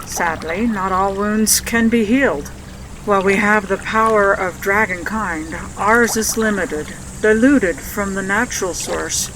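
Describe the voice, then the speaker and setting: A woman playing an older, demanding but encouraging mage mentor in an audio drama, speaking in a measured, instructive way. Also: mid-range voice, a bit bright, slight background noise, lightly acted, low-quality recording. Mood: solemn, teaching.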